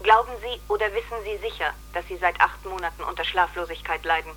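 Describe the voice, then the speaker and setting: A woman's voice: on the low side, fast talker, a bit bright. A woman playing a polite, pedantic computer therapist in a radio drama, asking formal, precise questions. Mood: polite, probing. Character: female computer psychoanalyst, polite, probing, pedantic machine. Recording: noisy old cassette radio recording.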